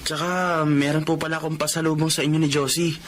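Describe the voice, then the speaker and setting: An actor playing a hesitant, respectful young Filipino son-in-law who is eager to please, in a radio drama. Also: male voice, fairly high pitch, monotone, fast, background noise, lightly acted. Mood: meek, polite.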